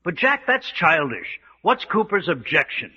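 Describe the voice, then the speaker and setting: Tense, sharp young Englishman. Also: fairly high pitch, very animated, a little slow, breathy edge, exaggerated voice. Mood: puzzled, concerned. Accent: British. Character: nervy, excitable young English gentleman adventurer. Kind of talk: old-time radio serial acting. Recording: muffled old radio recording.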